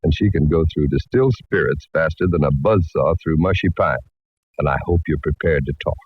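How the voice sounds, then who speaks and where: A man's voice: very deep voice, brisk, dry recording. A man playing a courteous, easygoing, folksy cowboy bartender in a 1940s radio drama. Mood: wry. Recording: dull vintage radio recording.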